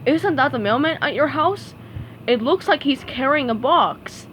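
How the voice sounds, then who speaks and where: A woman's voice: high-pitched, animated, fairly bright tone, a little hiss or hum, poor audio. A woman doing a snarling grumpy squid. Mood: sly. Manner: snarling, slow irritation. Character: grumpy male squid neighbor.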